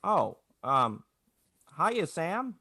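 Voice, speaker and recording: Guy doing a stubborn but tender young hometown man, old radio play, hesitant, highish and close-miked dry. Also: very animated, drawn-out words, vocal fry, sharp tone, dry recording, full character voice. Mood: flustered. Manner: hesitant, stammering delivery. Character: young small-town man, stubborn, flustered, tender-hearted dreamer. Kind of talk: 1940s radio drama acting. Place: indoors, recorded over a video call.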